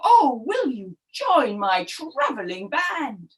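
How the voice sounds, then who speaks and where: A woman's voice: a little high, very animated, takes it slowly, voice pushed down. A woman voicing a spirited, kindly old male donkey for a children's story, theatrical and exclamatory with braying calls. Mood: delighted.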